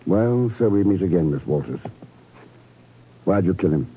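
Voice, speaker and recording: A cool, world-weary male secret agent from a 1950s radio drama. His voice is low and husky, and he talks in terse, commanding lines. The recording is muffled. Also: animated, moderate pace. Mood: probing.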